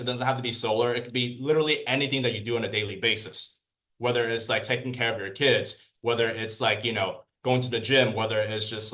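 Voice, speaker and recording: A man whose first language is Cantonese, giving a lively training talk to a group, asking them questions and repeating key points. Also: mid-range voice, poor audio. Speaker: sales trainer.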